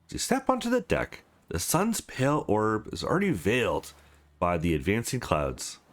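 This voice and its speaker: Man reading aloud with hugely animated pitch swings. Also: moderate pace. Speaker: let's play host reading visual novel dialogue aloud. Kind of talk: reading game script aloud with brief reactions.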